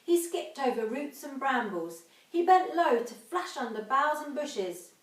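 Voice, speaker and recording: A woman's voice: high-pitched, lively intonation. A woman, an infant teacher reading and talking to young children in a dramatic, emphatic way. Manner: dramatic, emphatic, drawn-out sound words. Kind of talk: expressive children's storybook reading and instruction. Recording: echoey room, distant microphone.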